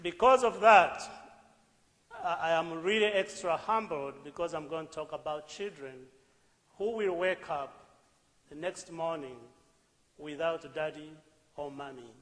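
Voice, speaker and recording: A middle-aged Ugandan man with a Ugandan accent, giving an earnest, deliberate public talk with rising emphatic bursts. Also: a little high, a bit bright. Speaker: speaker. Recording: echoey hall with long reverb.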